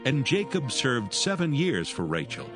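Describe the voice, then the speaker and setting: A man narrating dramatized scripture as a solemn, measured storyteller. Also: medium pitch, very animated. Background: music.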